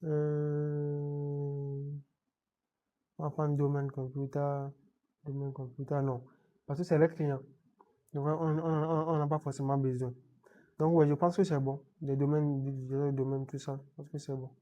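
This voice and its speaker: A man with a head cold walks through a computer setup in an unscripted screencast tutorial. He stumbles and repeats words, pausing often to check in with the viewer. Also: a little high, level tone, mellow, lo-fi sound. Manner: halting, many repeated words and restarts. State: has a cold, clearing throat. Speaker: IT tutorial presenter.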